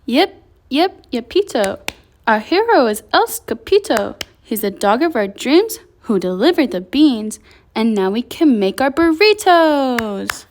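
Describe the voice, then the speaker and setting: A woman voicing excitable little Chihuahuas in a children's storybook, exclaiming and chanting. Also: sits high, a little slow, a little hiss or hum. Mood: triumphant singing. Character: band of excitable little bandit-fearing Chihuahuas.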